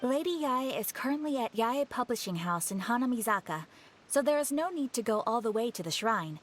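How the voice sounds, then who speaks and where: A woman acts as a dutiful attendant with a fairly high voice. Her delivery is formal and polite, as a game character, with faint noise behind her. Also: expressive, a little fast, close to an everyday voice. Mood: polite, businesslike. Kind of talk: video game character acting.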